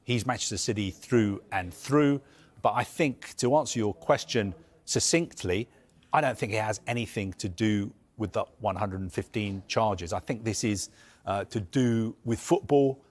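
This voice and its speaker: A man's voice: fairly low pitch, moderate pace. A man giving live news analysis as a football reporter, earnest and emphatic, building his points through lists.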